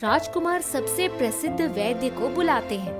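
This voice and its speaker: A woman narrates a children's fairy tale in a scripted storytelling style. Her voice is high-pitched, and music plays clearly behind her. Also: lively intonation, moderate pace.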